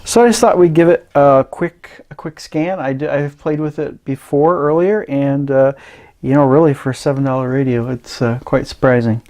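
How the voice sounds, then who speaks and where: A man presenting a casual, unscripted gadget review in a halting, rambling way. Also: mid-range voice, steady tone, darkish tone. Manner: hesitant, halting, rambling delivery.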